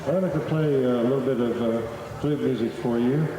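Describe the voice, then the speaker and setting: A man's voice: mid-range voice, moderate pace, background noise. A middle-aged man, talking to a live audience between flute pieces in a folksy, joking storyteller's manner. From Oklahoma. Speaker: flute performer addressing a festival audience. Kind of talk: live stage talk with folksy humor. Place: outdoor festival stage. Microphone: stage PA microphone. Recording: echoey live recording from far off. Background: festival crowd.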